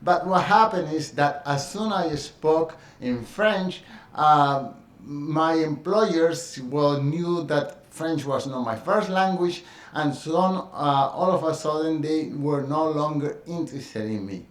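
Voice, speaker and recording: A man's voice: on the high side, slow pace, reverberant. A middle-aged man from Colombia with a Spanish accent, talking earnestly about his experience, with frequent fillers and restarts. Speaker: dance teacher sharing his experience. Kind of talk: personal monologue.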